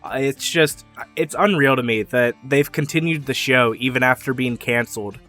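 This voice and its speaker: Bright-voiced young man rambling through fan theories. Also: medium pitch, normal speed, faint music behind. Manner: rambling, enthusiastic, with fillers and small laughs. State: getting a little hoarse. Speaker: YouTube theory channel host. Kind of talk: casual solo theory talk to camera.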